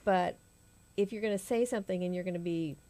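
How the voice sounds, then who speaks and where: A woman's voice: fairly low pitch, brisk, mellow. A woman chatting on a radio talk show in a studio, hesitant and often restarting her sentences. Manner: hesitant, starts over often. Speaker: host's wife, guest. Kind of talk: casual chat on radio.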